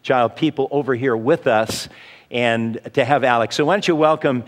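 A pastor introduces a guest at an evening service, halting often on fillers and false starts. His voice has a middle pitch and rings with a long hall reverb. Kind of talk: introducing a guest speaker in church. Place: church hall.

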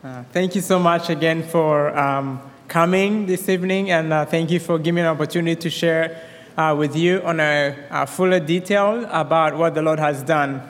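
A young man from Rwanda with a Rwandan accent, giving his personal testimony at a church service in a quick, excited way with many restarts. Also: on the high side. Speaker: guest speaker. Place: church hall. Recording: long reverb of a hall.

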